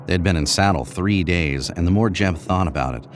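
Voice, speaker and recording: A man's voice: deep voice, brisk, slight background noise. A man narrating a scripted horror story.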